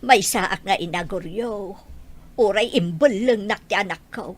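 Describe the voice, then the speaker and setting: Woman acting a husky, anxious old Ilocano mother. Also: mid-range voice, a little slow, close to an everyday voice. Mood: worried, pleading. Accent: Ilocano Filipino. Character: anxious, devoted old mother longing for her son. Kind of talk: Ilocano audio drama acting.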